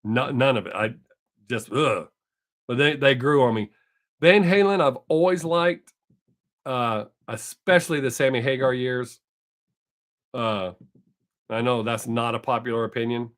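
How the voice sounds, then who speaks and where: A man's voice: mid-range voice, unhurried, pauses often, fairly bright tone. Chatty older man with a drawl. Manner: rambling, self-correcting, chatty. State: voice not fully recovered. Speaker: live stream host. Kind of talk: casual chat with viewers.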